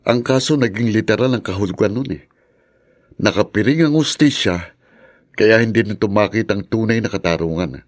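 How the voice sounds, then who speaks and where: A man's voice: on the low side, moderate pace, natural voice. A man acting in a radio drama as a melancholy, wronged man who speaks ruefully and earnestly. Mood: rueful, wounded. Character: wronged, melancholy man, former campaigner, lamenting injustice.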